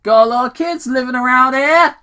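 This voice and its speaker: A man's voice: extremely high pitch, brisk, bright, forceful tone, pitched above the performer's own voice. A man reading the part of a bored, sarcastic small-town cop who teases and exclaims, in an amateur fan fiction reading. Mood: joking, teasing.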